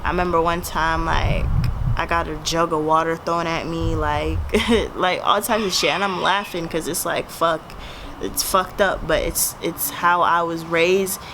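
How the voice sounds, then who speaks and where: A young woman in her twenties with a Jamaican accent talks straight to camera, casual and candid, with plenty of swearing. Her voice sits a little low. Clear background noise and passing traffic run under the recording. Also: steady tone, unhurried. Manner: casual, blunt and profane. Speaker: vlogger. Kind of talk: candid personal storytelling to camera.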